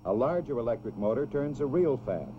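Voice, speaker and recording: Casting: male narrator for a children's instructional film, measured and explanatory, dull vintage soundtrack sound. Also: medium pitch, animated, slight background noise. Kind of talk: scripted educational film narration.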